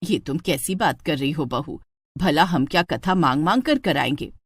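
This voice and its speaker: Woman voicing a low, stingy mother-in-law. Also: lively intonation, normal speed, slightly rough, no echo, studio quality, full character voice. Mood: disapproving. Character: stingy, scheming middle-aged mother-in-law.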